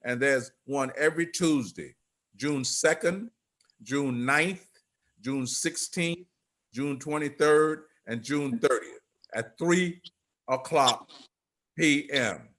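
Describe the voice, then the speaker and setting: An older man giving informal remarks on a group video call in a halting, rambling way. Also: on the high side, a bit bright. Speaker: theatre company leader.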